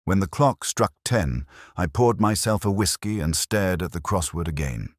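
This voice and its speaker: A man playing a weary, erudite police inspector, narrating his case in a measured, melancholy, sardonic way as a literary audio drama. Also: deep voice, animated, no room sound, studio quality. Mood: brooding.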